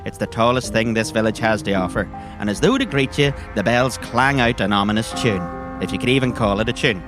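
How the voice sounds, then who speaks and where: An Irish man narrates a role-playing story as game master. His delivery is wry and storylike, in a mid-pitched voice. Music plays clearly behind him. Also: fairly quick.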